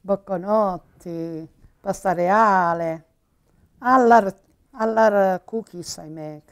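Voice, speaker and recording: An older woman with an Italian accent, a native Italian speaker, chatting casually about cooking in halting, simple broken English. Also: medium pitch. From Puglia, Italy. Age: older, grandmother. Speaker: grandmother cook on a cooking show. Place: home-style kitchen, indoors.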